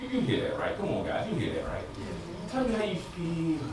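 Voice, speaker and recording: An actor playing an anguished, frustrated husband in an emotional theatrical stage monologue that moves between quiet confiding and loud outbursts. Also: male voice, sits high, wildly swooping pitch, fairly quick. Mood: frustrated, confused. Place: stage in a large indoor room. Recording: echoey stage, distant mic, noisy.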